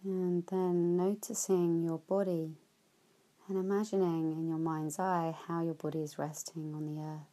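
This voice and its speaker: A woman leading a guided yoga nidra relaxation, speaking softly and often trailing into whispers at phrase ends. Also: fairly low pitch, flat delivery. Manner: soft, slow, with whispered phrase endings.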